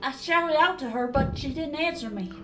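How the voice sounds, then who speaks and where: Girl doing a scared farm pony confessing, highish voice, pleading, music behind it. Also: animated, normal speed. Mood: haunted, recounting. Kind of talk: dramatic fan fiction voice acting. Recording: background music under the voice.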